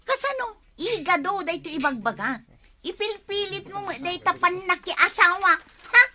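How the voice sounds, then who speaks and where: A woman's voice: extremely high pitch, lively intonation, moderate pace, crowd noise. A woman playing a touchy single woman in an Ilocano radio drama, exclamatory and exasperated, with a Filipino Ilocano accent. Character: touchy single woman fending off marriage nagging.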